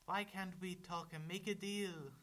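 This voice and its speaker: Storybook hero: man playing an anxious but plucky piglet, high and forceful, indignant and pleading. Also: steady tone, unhurried. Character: small, plucky, anxious male pig. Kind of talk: storybook verse reading.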